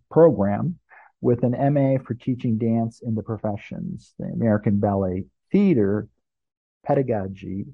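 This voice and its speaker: A man's voice: fairly low pitch, gravelly, slightly rough, no room sound, narrow, tinny audio. A man hosting an interview podcast, introducing a guest and asking questions.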